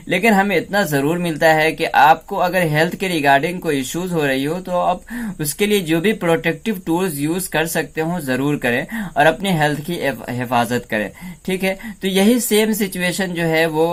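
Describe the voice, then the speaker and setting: A man giving explanatory commentary, laying out points step by step in a didactic way and mixing in English words. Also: a little high. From India. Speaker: presenter of a political analysis video.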